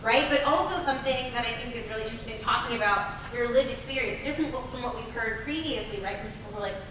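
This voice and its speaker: A woman's voice: fairly high pitch, fast. A woman facilitating a community workshop discussion, engaged and affirming, often checking in with "right?". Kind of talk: leading a group discussion. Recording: echoey room, distant mic, faint noise.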